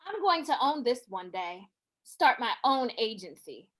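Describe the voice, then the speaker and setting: Woman playing a lively, ambitious dreamer. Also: fairly high pitch, unhurried. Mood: determined, hopeful. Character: determined, hopeful ambitious woman in flashback. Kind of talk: drama table read.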